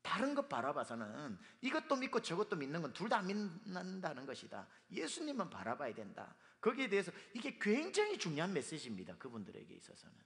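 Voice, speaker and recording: A man preaching a sermon as pastor, his voice hoarse from dust, speaking emphatically. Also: fairly high pitch, wildly swooping pitch, fairly quick, few pauses, fairly bright tone. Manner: emphatic, with sung and quoted lines. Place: church sanctuary. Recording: long reverb of a hall.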